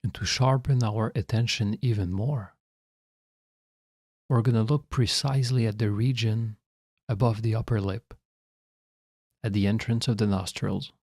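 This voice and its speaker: Man, meditation guide, fairly deep voice, calm measured instructions, long pauses, very dry sound. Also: dry recording.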